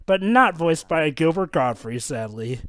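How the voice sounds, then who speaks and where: A man's voice: a little high, unhurried, dry recording. A man cracking jokes and theories while commentating on a video game with friends. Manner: animated and wisecracking. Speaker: let's play co-commentator.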